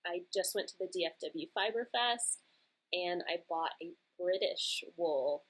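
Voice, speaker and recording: A woman's voice: on the low side, animated, a little slow, fairly bright tone, rough recording. A young woman from Georgia with a mild Southern accent, hosting a casual question-and-answer chat on her knitting podcast. She rambles cheerfully and laughs easily. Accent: mild American Southern. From Georgia, USA.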